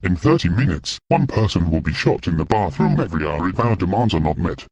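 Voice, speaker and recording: A man voicing a cold adult hostage-taker who reads out threats in a flat, measured way. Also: low-pitched, husky, dry recording, highs cut off, exaggerated voice. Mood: menacing.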